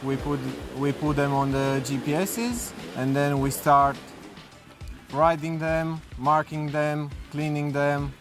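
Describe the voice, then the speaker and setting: A track manager describes his work plainly, one step at a time. Clear music plays loudly underneath him. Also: a little high, fairly even intonation, slow pace. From Romania. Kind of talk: casual talk to camera.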